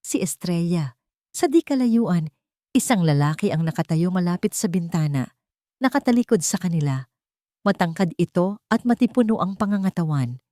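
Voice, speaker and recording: Female storyteller for romantic audio drama, lower pitch, scripted dramatic delivery, dry close recording. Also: animated, moderate pace, mellow, no echo. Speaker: story narrator.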